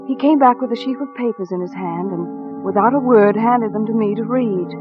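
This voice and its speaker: A woman's voice: a little high, close to an everyday voice. A woman playing an anxious, devoted wife in a 1940s radio suspense drama, speaking tenderly and earnestly. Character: anxious, devoted wife telling her own suspense tale. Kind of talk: 1940s radio drama acting and first-person narration. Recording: dull vintage radio recording, music behind.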